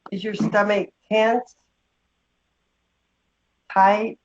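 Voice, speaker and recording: A woman leading a guided meditation, giving slow, gentle instructions with long pauses. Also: mid-range voice, level tone. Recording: dull, muffled lo-fi sound.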